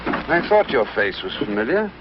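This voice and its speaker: An actor playing a dignified, wary cabinet secretary in a vintage television drama, formal and authoritative. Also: male voice, mid-range voice, very animated, moderate pace, natural voice. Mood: composed, formal. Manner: formal, authoritative, easily startled. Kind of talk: 1950s television drama acting. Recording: muffled, noisy outdoor scene on vintage soundtrack.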